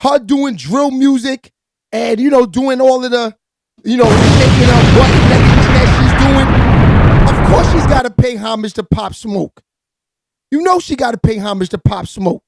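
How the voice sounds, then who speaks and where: A raspy-voiced man hosting a live entertainment news stream, giving hyped, slangy hip-hop gossip commentary with lots of shout-outs to viewers. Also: extremely high pitch, normal speed, no echo, soft background music.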